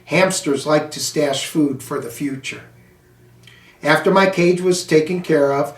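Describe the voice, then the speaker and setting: An American man reading a children's storybook aloud in a deliberate, phrase-by-phrase way. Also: fairly high pitch. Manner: slow, deliberate, phrase-by-phrase delivery. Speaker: school superintendent reading aloud. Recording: echoey room, distant microphone.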